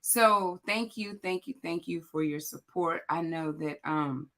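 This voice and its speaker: Raspy, hoarse woman host. Low, loud and chatty, speaking straight to the viewers. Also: measured pace. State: hoarse, voice cracking. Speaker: host of a morning motivational livestream. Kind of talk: casual motivational chat to viewers.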